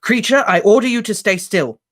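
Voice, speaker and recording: Brief: man reading a fierce teenage boy, urgent and commanding, pitched a touch above the narration and louder. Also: expressive, fairly quick. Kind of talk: audiobook character voice.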